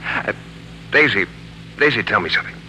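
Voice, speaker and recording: A man's voice: fairly low pitch, expressive, fairly quick, sharp tone, low-quality recording. A male actor playing a tipsy, smitten ex-army suitor in 1940s American radio drama, earnest and boyish. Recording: old radio broadcast, faint hum and noise.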